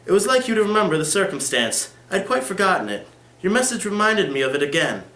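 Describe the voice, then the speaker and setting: A man's voice: high voice, very animated, fast talker, bright, forceful tone, pitched above the performer's own voice, exaggerated voice. A man reading the part of a languid, careless, haughty aristocratic lady in a formal dramatic reading. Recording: echoey room.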